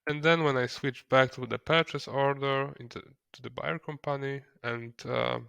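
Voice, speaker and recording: A non-native English-speaking man narrating a software tutorial step by step, in a hesitant way. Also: mid-range voice, fairly even intonation, moderate pace, creaky, clean tone, dry recording. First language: not English. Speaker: software trainer.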